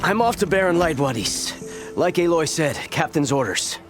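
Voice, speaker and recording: A man plays a dutiful game soldier with a fairly high, very mobile voice. He is brisk, and music sits clearly behind him. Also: expressive, close to an everyday voice. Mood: matter-of-fact. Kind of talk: video game character acting.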